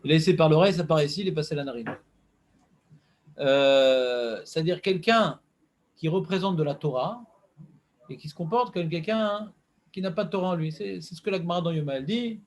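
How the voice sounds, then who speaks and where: High-pitched male teacher running a casual class, playful and teasing. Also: fairly quick. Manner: joking, banters with students, animated. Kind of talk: informal Torah class with questions to students.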